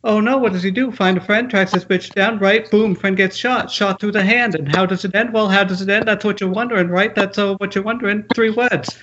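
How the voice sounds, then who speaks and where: A man's voice: sits high, fairly even intonation, a little fast, hardly any pauses, lightly acted. A man playing a manic, nervy 1980s horror novelist in a screenplay table read, rambling and repeating himself with punchy, profane bursts. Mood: manic pitching. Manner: frantic, rambling, repetitive, punchy exclamations, profane. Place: at home on a group internet call. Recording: muffled, noisy internet call with clicks.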